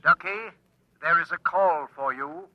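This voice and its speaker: A man voicing an impassive, helpful home computer with flat, formal, precise delivery in a 1950s radio drama. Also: a little high, a little slow. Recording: dull vintage radio recording.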